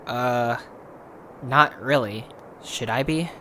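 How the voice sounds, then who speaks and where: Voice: medium pitch, takes it slowly, sharp tone. A performer voicing an apathetic young male creature, a sarcastic, whiny brother in an amateur audio drama. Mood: indifferent. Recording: noticeable background noise.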